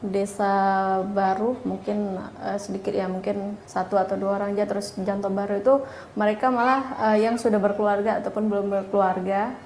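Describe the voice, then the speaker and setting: A woman's voice: mid-range voice, fairly even intonation, normal speed, darkish tone. A woman giving hesitant answers in an interview. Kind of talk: interview talk. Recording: echoey room with faint noise.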